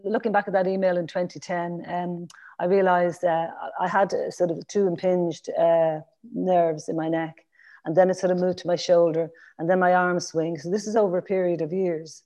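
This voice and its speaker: Woman, 50s, Dublin Irish accent, warm low voice, chatty with fillers, muffled lo-fi sound. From Ireland. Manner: chatty, rambling with restarts and fillers. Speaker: podcast guest. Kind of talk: casual interview conversation.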